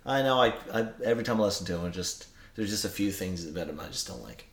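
Man, low voice, fast talker, lively pitch, hesitant, roomy echo. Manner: quick, animated reactions with hesitations. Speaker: podcast co-host. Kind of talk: casual chat.

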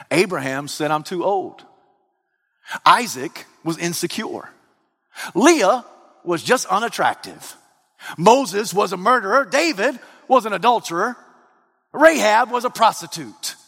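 A man preaching a sermon, swinging from loud excited outbursts to low hushed lines. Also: a little slow, creaky, long pauses. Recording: long reverb of a large hall.

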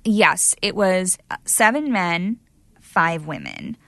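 A woman's voice: lowish voice, expressive, takes it slowly, sharp tone, no echo. Chatty woman reporter, dry close sound. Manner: chatty, many fillers like "you know". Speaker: court reporter guest. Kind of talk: conversational interview answers.

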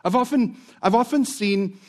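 A male pastor preaching a sermon, dropping to a near whisper and then rising again, stretching out key words. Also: very high voice. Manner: dramatic swings from loud to hushed, drawn-out words.

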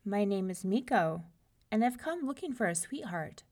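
A woman acts out a polite young farm boy from a storybook. He sounds earnest and hopeful, with lively, varied intonation. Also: medium pitch, normal speed. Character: polite, hopeful young farmer's son seeking a sweetheart. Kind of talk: children's storybook character voice.

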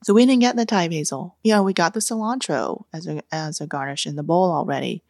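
A woman in her forties, born in Vietnam, telling family stories in a relaxed interview, laughing often and acting out what her relatives said. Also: on the low side, a little fast, dry recording, studio quality. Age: 40s. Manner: animated, laughs often, acts out family quotes. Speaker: guest. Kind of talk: casual storytelling interview.

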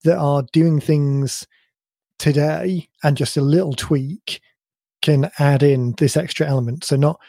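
A man co-hosting a podcast in casual chat, his voice a bit croaky, thinking aloud in long rambling sentences. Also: a little high, fairly even intonation, unhurried, dark tone, dry recording, studio quality. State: slightly croaky voice. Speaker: podcast co-host.

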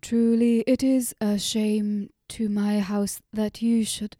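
A woman voicing a grieving middle-aged father who speaks formally and haltingly, in an old-fashioned storybook manner. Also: mid-range voice, little pitch movement, warm tone, no echo, studio quality, natural voice. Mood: ashamed.

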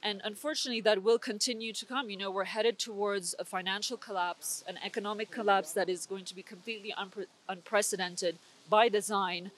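A woman's voice: mid-range voice, fairly even intonation, a little fast, hardly any pauses. A young woman from Switzerland, speaking as an interview guest with intense, urgent political commentary and frequent restarts. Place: conference venue.

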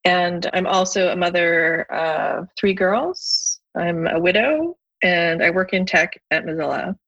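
A woman from Canada, a guest on a podcast, talking thoughtfully in a relaxed conversation, often restarting her sentences. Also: lowish voice, a little slow, highs cut off. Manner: thoughtful, with frequent restarts and fillers. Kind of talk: conversational interview.

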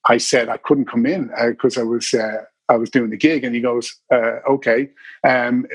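Irish man, husky, rambling storyteller. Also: medium pitch, fairly even intonation, normal speed, no echo. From Ireland. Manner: rambling, many restarts and fillers. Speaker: bass player guest. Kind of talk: casual interview storytelling.

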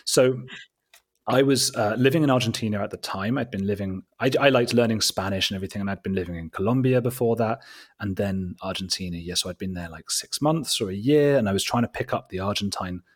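Man, lowish pitch, husky tone, casual storytelling, rambling, self-interrupting, interview guest. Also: brisk. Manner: rambling, informal, self-interrupting, animated anecdotes. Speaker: interview guest, documentary maker.

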